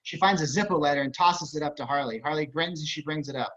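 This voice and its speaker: Guy reading script directions on a video call, bright highish voice, wry casual narrator. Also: quick pace. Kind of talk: scripted narration at an online table read. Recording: dull video-call audio.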